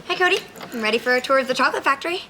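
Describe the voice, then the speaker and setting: A woman's voice: high voice, lively intonation, rapid-fire, background noise. A prim, touchy teenage girl in a sitcom.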